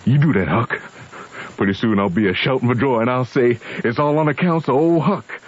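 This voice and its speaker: A man's voice: medium pitch, brisk, lightly acted. A man playing a hopeful, devoted runaway enslaved man with a Southern American accent and heartfelt dialect speech in an old-time radio drama. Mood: grateful. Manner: heartfelt dialect speech, refers to himself by name. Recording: muffled old radio recording.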